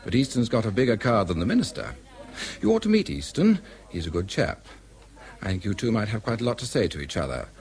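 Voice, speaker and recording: An actor playing a shrewd English official in a British radio drama, musing and insinuating in a conspiratorial way. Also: male voice, lowish voice, very animated, brisk, darkish tone.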